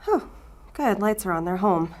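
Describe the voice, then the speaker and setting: A woman playing an earnest, anxious female investigator in a naturalistic audio drama, with a halting, strained delivery. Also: medium pitch, animated, noisy background, natural voice. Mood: alarmed.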